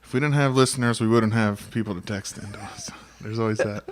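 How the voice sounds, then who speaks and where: A man co-hosting a podcast in casual, joking banter with a halting, rambling delivery. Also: on the low side, steady tone, a little hiss or hum. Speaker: podcast co-host.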